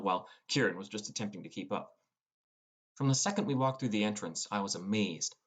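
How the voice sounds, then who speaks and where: A man narrating a scripted first-person fantasy audiobook as a teenage boy gamer. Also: lowish voice.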